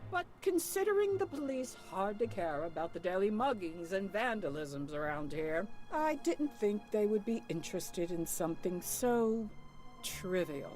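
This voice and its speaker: Older proper shop owner in a game, polite but guarded, lively intonation, soft music behind. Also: female voice, medium pitch, unhurried. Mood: guarded, firm. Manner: courteous, formal, guarded. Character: unflappable, proper older widowed woman shopkeeper. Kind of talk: voiced adventure game dialogue.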